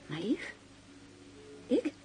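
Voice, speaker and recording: An actress playing a flirtatious, inquisitive lover, coaxing and playfully naive in an intimate radio play. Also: female voice, very slow, dark tone, faint music behind. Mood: feigned innocence. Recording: faint background noise.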